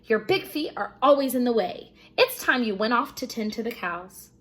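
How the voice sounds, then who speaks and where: A woman's voice: a little high, lively intonation, moderate pace, a bit bright. Woman as a cross, snappish storybook stepmother. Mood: cross, dismissive. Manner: snappish, dismissive. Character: cross, scolding stepmother of a poor boy.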